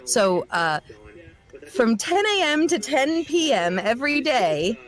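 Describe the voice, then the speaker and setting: A woman's voice: high-pitched, big pitch swings, slow. A woman voice actor and director talking casually in an interview, chatty and a little self-deprecating.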